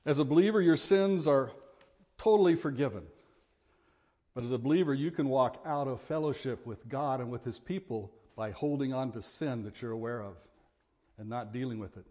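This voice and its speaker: A middle-aged man with a big voice preaching a sermon, earnest and at times forceful in his exhortations. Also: medium pitch, normal speed.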